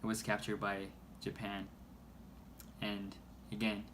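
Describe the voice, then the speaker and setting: A man reading a scripted news report aloud in a halting way, stumbling over words. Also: on the low side, completely flat delivery. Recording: noisy amateur recording.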